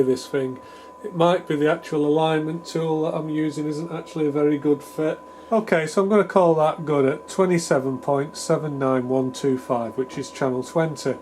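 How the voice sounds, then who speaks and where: A man's voice: fairly high pitch, a little mellow. A man giving a casual, improvised technical demonstration, thinking aloud in a tentative, self-deprecating way. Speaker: hobbyist radio repair presenter. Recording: clear background noise with hum.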